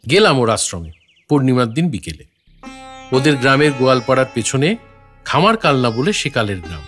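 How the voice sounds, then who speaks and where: An actor playing a thoughtful, courteous gentleman writer, narrating an audio story in a reflective, literary manner. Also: medium pitch, very animated, fairly quick. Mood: descriptive, calm. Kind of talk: scripted story narration with acted dialogue. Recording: faint music behind the voice.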